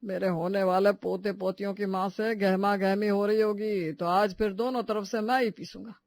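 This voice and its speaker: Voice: medium pitch, little pitch movement, normal speed, vocal fry, darkish tone, dry recording, clean studio sound, close to an everyday voice. An actor voicing a put-upon middle-aged father-in-law in a family story, grumbling wryly. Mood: weary grumbling. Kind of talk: animated Hindi family story dialogue.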